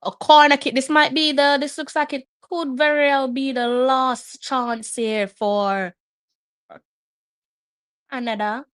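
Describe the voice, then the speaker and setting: A woman's voice: sits high, fairly even intonation, slow pace, fairly bright tone, smooth, dry recording. Chatty woman hosting a watch-along. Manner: chatty, muses aloud while reading up on players. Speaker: host. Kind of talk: live watch-along commentary.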